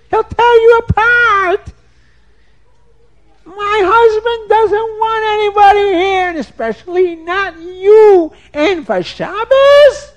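A man voicing a shrill, panicky woman who shrieks warnings in a drawn-out way. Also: lo-fi sound. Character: shrill frantic woman, a fierce man's wife.

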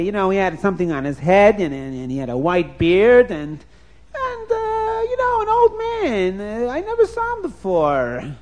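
A woman's voice: mid-range voice, a little mellow, pitched above the performer's own voice, natural voice. A man voicing a selfish, lazy young wife who talks offhandedly with hesitant fillers.

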